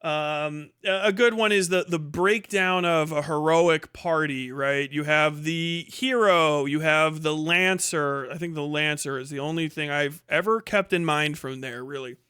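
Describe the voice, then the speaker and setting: A man's voice: on the high side, measured pace, few pauses, pushed and bright, smooth, no room sound, clean studio sound. A man hosting a livestream, giving rambling, emphatic and sweary opinionated commentary in casual speech. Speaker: livestream host.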